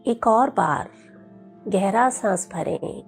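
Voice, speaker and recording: Female meditation guide: very slow, soothing delivery, slightly creaky voice with a soft, breathy edge. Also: medium pitch, animated, faint music behind. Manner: soothing, gentle, unhurried with long pauses. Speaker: yoga teacher leading guided meditation.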